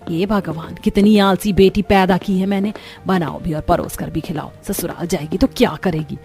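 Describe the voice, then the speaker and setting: Woman as exasperated middle-aged mother, nagging, grumbling, cartoon voice, soft music behind. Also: on the low side, fairly even intonation, normal speed, pitched below the performer's own voice, close to an everyday voice. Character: scolding middle-aged Indian mother, exasperated and sharp-tongued.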